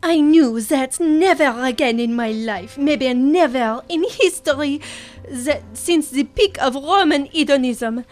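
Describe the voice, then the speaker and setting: A tragic, lovesick French secretary played by a young woman pours out her heart in a high voice at a slow pace. She is florid and grandly melodramatic, in comic radio serial style, with faint music underneath. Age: young adult. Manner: florid, theatrical storytelling with emotional outbursts. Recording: music behind the voice.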